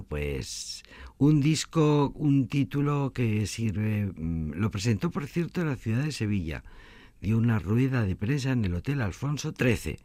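A radio presenter with a low voice that rises and falls freely as he chats between songs. The studio sound is dry and close, with no room around him, and he talks casually, restarting a phrase now and then. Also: dry recording. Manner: chatty, lively, with small restarts.

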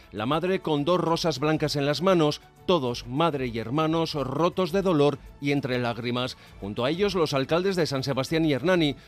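A man reporting the news in a solemn, measured way. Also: on the high side.

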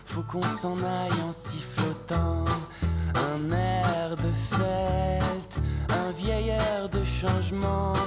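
A woman performing spoken-word poetry in a measured delivery. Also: on the low side, flat delivery. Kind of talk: slow spoken-word poetry over guitar. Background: loud guitar music.

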